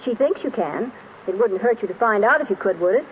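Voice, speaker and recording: An actress playing a detective's playful, persistent wife, teasing and nudging, in an old radio drama. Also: female voice, mid-range voice, expressive, a little fast, natural voice. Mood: coaxing. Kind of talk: 1930s radio drama acting. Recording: dull, noisy old radio recording.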